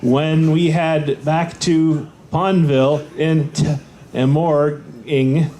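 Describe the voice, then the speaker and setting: Halting, slow-reading man, slightly high voice. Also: flat delivery, mellow, a little hiss or hum. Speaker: panelist reading aloud. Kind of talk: halting read-aloud of garbled fan fiction. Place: convention panel room.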